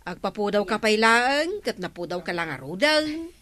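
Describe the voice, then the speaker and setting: An actress playing a gossipy, teasing village neighbour in a radio comedy drama, with an Ilocano accent. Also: female voice, mid-range voice, sing-song, moderate pace, a bit bright.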